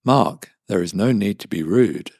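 A man voicing a smart-speaker AI that speaks in a flat, measured, politely menacing way. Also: on the low side, gravelly, no echo, studio quality, full character voice. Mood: cold, polite. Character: cold watchful smart-speaker AI, male.

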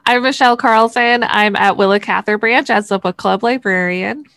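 A woman's voice: medium pitch, moderate pace, fairly bright tone, no echo. Joking woman podcaster, dry close sound. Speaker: podcast co-host, librarian. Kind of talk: casual podcast chat. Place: remote video call recording.

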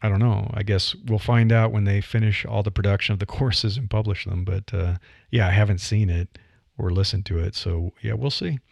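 A man's voice: on the low side, fairly even intonation, moderate pace, creaky, darkish tone, no room sound, dull audio. A middle-aged man with a Midwestern accent, a podcaster talking casually about voice delivery with frequent "you know" fillers. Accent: Midwestern American. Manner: conversational, many "you know" fillers, light laughs. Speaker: podcaster and writer. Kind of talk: reflective monologue on voice delivery.

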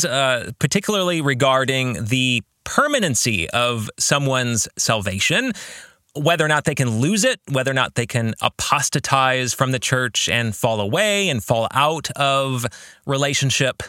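Bright-voiced, expressive man hosting a podcast. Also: mid-range voice, dry recording, clean studio sound. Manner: earnest, deliberate phrasing with rhetorical questions. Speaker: podcast host. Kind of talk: reflective religious commentary on a book.

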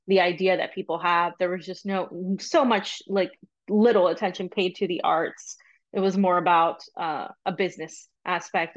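A woman's voice: on the low side, dull audio. A young woman from Peru who grew up in Florida, a podcast guest talking casually and rambling with many ums. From Peru, raised in Florida. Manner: chatty and rambling, with many ums and quick asides. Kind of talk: casual interview talk.

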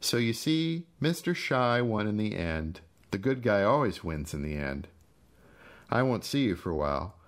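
A man's voice: lowish voice, very animated, moderate pace, pitched above the performer's own voice. A man voicing a vengeful, sadistic storybook character who taunts his helpless brother with mocking, menacing questions. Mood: mock cheerful. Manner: mocking, menacing, sing-song rhetorical questions.

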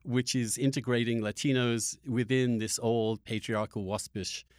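A man hosting a conversational interview, halting and repeating words as he works through an argument. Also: mid-range voice, fairly even intonation, dry recording, studio quality. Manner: halting, repeats words while arguing a point. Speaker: podcast host.

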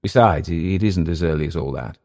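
A man voicing a bitter, dissolute Englishman with an English accent, sneering and halting by turns. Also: deep voice, lively intonation, a little mellow. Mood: uneasy.

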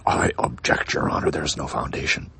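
A man voicing an indignant, obstructive defense lawyer in a dramatic poem reading, aggrieved, strenuous and breathy. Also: rumbling low, fairly even intonation, normal speed, sharp tone, exaggerated voice.

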